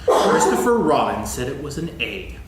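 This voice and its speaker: A man playing a grumbling, self-pitying storybook donkey in a staged reading, lecturing pompously with emphatic pauses. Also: medium pitch, big pitch swings, over a noisy crowd, natural voice. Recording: echoey stage, distant mic, faint noise.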